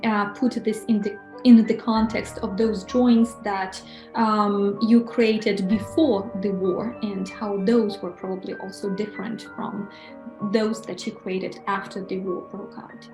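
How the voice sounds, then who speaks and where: A woman interviewer asking measured, slightly halting questions. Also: medium pitch, fairly even intonation. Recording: roomy echo with faint music.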